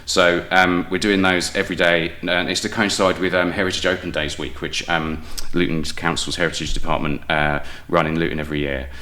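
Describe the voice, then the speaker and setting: A man's voice: low voice, flat delivery, brisk, few pauses, sharp tone. A man with a British accent talking casually and enthusiastically as an interview guest, often breaking off and restarting his phrases. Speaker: guest playwright and audio drama maker.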